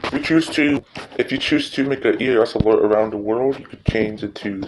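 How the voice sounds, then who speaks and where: A man hosting a casual tutorial, speaking informally and haltingly, often repeating himself. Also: mid-range voice. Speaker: tutorial host. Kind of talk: casual screen-recording tutorial. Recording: noisy recording with clicks.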